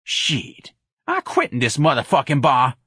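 A man voicing a sly, put-upon young bar porter in an audiobook, grumbling and defiant with drawn-out exclamations. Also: mid-range voice, wildly swooping pitch, sharp tone, slightly rough, dry recording, studio quality, pitched above the performer's own voice, heavily acted. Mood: indignant.

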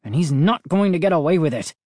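Narrator playing angry storybook dad, middle-aged man, bitter, accusing, shrieking outbursts, highly animated pitch. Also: a little fast, no room sound, clean studio sound, natural voice.

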